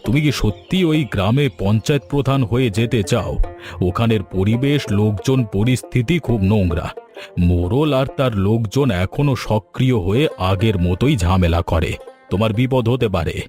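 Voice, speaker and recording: A man playing a kindly, protective gentleman in a cartoon drama, speaking in an earnest, fatherly way. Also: on the low side, moderate pace, faint music behind, lightly acted. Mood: solemn, protective. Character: kindly, protective middle-class gentleman who adopts a village girl. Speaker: cartoon character voice.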